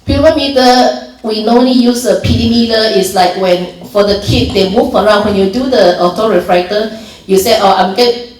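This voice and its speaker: A woman's voice: mid-range voice, darkish tone. A woman from Malaysia with a Malaysian accent, giving an informal volunteer training talk, explaining steps plainly with many restarts and fillers. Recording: echoey room from far off.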